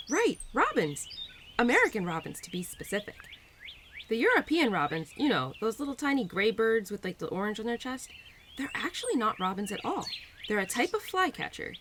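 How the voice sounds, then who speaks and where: A woman's voice: medium pitch, big pitch swings, brisk, fairly bright tone, a little hiss or hum. A woman playing a bubbly, affectionate young spirit of Spring in a roleplay audio drama, talking to the listener in a gushing, giggly, teasing way. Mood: chatty, curious.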